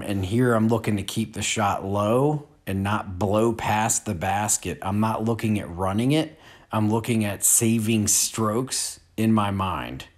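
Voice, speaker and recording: A man in his forties giving a relaxed, unhurried disc golf lesson with casual fillers. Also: lowish voice. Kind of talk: casual instructional voice-over. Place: outdoor field.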